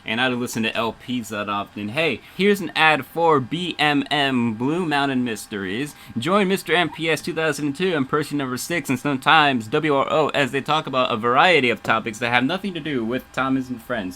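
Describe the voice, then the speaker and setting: A man's voice: mid-range voice, a little fast, pushed and bright. A man hosting a casual livestream radio show as DJ, playful and rambling, with emphatic announcing.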